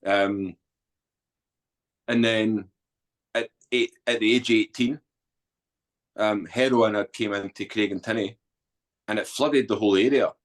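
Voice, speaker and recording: A man's voice: fairly low pitch, fairly even intonation, unhurried, long pauses. A man in his forties from Edinburgh with a Scottish accent, a podcast guest telling his life story in a blunt, earthy way with frequent ums. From Scotland. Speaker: guest, author and former soldier. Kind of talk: candid storytelling interview.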